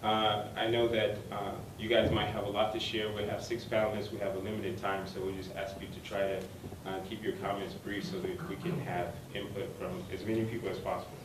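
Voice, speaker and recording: A man's voice: fairly low pitch, little pitch movement, brisk. A man moderating a university panel, speaking hesitantly with many ums and uhs. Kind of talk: hesitant opening remarks. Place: university lecture room. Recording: echoey hall, far mic, noisy.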